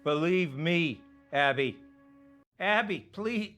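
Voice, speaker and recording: Casting: volatile, desperate dying uncle, middle-aged, forceful tone, pleading and swearing, game film drama. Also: male voice, fairly high pitch, expressive, slow, soft background music, full character voice. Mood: intense, pleading. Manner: agitated, pleading, stammering, swearing. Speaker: actor in interactive mystery film. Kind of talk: naturalistic film drama acting.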